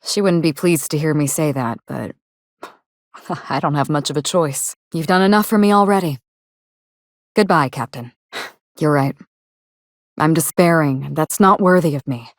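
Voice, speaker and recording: A woman playing a proud, wry, grieving actress turned quietly ruthless avenger in a video game, speaking in a dry, measured, wistful way with formal phrasing. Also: sits low, dry recording. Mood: despairing, reflective. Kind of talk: video game dialogue acting.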